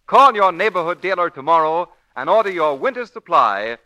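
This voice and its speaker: Bright, lively 1940s radio announcer man. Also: high voice, moderate pace, muffled recording. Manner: polished, emphatic, declamatory. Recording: old 1940s radio broadcast.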